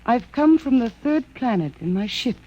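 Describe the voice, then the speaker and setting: A woman's voice: on the high side, sing-song, moderate pace, dark tone, muffled recording. A woman playing a wistful, unhappy wife on Mars in a radio drama, musing and hesitant. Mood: dreamy.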